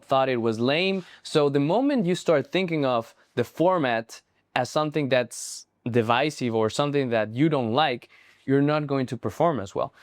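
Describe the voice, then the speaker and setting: A young man speaks English with a Spanish accent, since Spanish is his first language. He explains his ideas earnestly, restarting phrases now and then and checking in with asides. His voice sits at an ordinary middle pitch and sounds close and dry, with no room around it. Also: dry recording. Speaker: marketing coach. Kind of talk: casual talk to camera.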